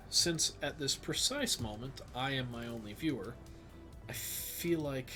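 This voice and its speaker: Slow-talking stuffed-up man streamer. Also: medium pitch. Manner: slow, musing, thinks aloud with many fillers. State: stuffed up, sniffly. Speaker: streamer playing a geography game. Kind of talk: casual live-stream commentary.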